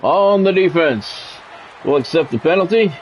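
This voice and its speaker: A man's voice: on the high side, animated, normal speed, poor audio. A man doing play-by-play commentary on a football video game in a conversational way, with wry asides. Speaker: play-by-play announcer.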